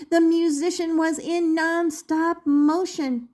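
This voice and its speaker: An older woman reading a children's picture book aloud expressively, deliberately drawing out key words as a storyteller. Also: very high voice, level tone, darkish tone.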